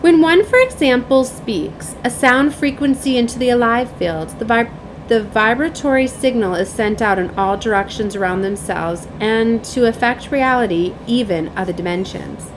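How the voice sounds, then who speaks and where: Higher-pitched woman giving a spiritual reading. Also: expressive, normal speed, rough recording. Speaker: tarot and oracle card reader. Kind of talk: spiritual reading, read aloud with asides. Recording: clear background noise.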